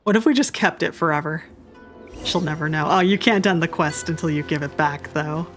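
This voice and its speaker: A woman's voice: low voice, fairly quick. A woman hosting a video game commentary, speaking casually and informally with an irreverent, jokey manner. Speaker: video game streamer, host. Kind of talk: casual game commentary, reading along and reacting. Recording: voice over game music. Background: game music.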